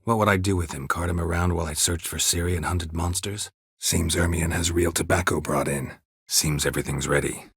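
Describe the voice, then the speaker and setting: A man voicing a gruff, stoic, dry-witted monster hunter in a video game, in a husky rasp with a terse, deadpan manner. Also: low-pitched, fairly quick, dry recording, exaggerated voice. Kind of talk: video game character dialogue.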